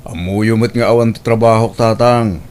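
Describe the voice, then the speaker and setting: An actor playing a defensive, irresponsible jobless son in an Ilocano audio drama, curt and irritable, with an Ilocano accent. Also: male voice, fairly low pitch, steady tone, moderate pace, darkish tone, smooth, natural voice. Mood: defensive, irritated.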